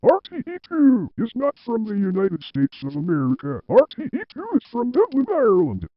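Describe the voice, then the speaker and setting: A man's voice: very high-pitched, huge pitch swings, moderate pace, dry recording, exaggerated voice. A man acting a defiant, swooping office worker. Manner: emphatic, repetitive. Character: defiant, stubborn male office employee who argues back. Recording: dull, dry lo-fi audio.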